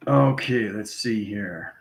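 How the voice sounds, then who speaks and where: A man's voice: lowish voice, takes it slowly, gravelly. A man giving an informal live lesson to viewers as an online teacher, repeating himself and often checking in with "okay" and "right". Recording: roomy, echoing room sound.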